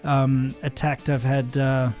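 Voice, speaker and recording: A man's voice: mid-range voice, little pitch movement, takes it slowly, a little mellow, faint music behind. A young man with a South African accent, speaking bluntly and outspokenly in a monologue to camera. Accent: South African English. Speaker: video creator. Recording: played back within a reaction video.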